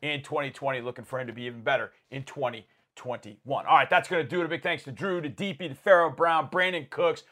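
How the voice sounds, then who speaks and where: A man's voice: fairly high pitch, fast talker, few pauses, pushed and bright. A man hosting a sports show, introducing segments and signing off to listeners. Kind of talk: scripted-sounding show hosting.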